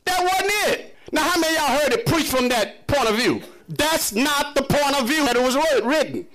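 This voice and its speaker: Male preacher, very high-pitched, emphatic and conversational sermon delivery with challenging rhetorical questions. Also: animated, moderate pace, a bit bright. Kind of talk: impassioned sermon to a congregation.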